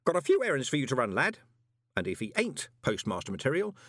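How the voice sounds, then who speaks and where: High-pitched, grumbling old postman. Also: huge pitch swings, fast talker, fairly bright tone, dry recording, studio quality. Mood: scheming. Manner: muttering, rambling, uneducated colloquial speech, mock-solemn. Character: grumbling, anxious, superstitious old male junior postman.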